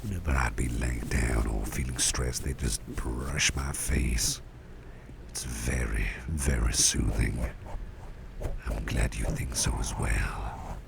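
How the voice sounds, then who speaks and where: A man playing a caring, gentle boss in an ASMR roleplay, murmuring tender reassurance in breathy whispers with a gravelly rumble. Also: fairly even intonation, slow pace, exaggerated voice. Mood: soothing. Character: caring, gentle male boss soothing a cursed employee.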